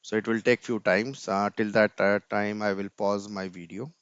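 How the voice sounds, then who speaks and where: A man's voice: fairly low pitch, fairly even intonation, moderate pace, clean tone, no room sound. A man presenting an informal screen-share coding tutorial step by step, often tagging his sentences with "right?", on a dull computer mic.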